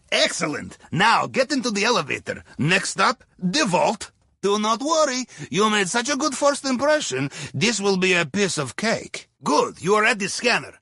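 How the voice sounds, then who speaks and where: A man playing a wry Russian submarine captain in a video game, briefing a heist crew with deadpan, folksy remarks and a Russian accent. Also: high voice, slightly rough, no room sound, clean studio sound, full character voice. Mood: urgent, animated. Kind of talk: scripted game mission briefing.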